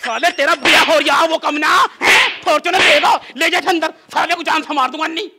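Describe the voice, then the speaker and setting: A very high, sharp comic cartoon voice from a man as a blustering village father with a hot temper. He speaks in a rural western Uttar Pradesh accent, pitched up for effect. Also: fast talker, exaggerated voice. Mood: angry, scolding. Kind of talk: comic skit acting in rustic dialect.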